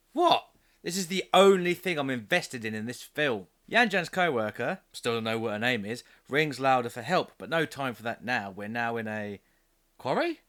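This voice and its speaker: Sarcastic male film reviewer, animated delivery. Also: medium pitch, moderate pace. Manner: sarcastic, exasperated. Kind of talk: scripted comedic film review narration with ad-libs.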